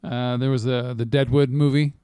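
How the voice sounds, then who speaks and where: A man chatting casually as co-host of a movie review show, profane, halting and often repeating himself. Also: medium pitch, flat delivery, dark tone, clear, resonant tone, no room sound, studio quality. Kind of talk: casual chat, film commentary.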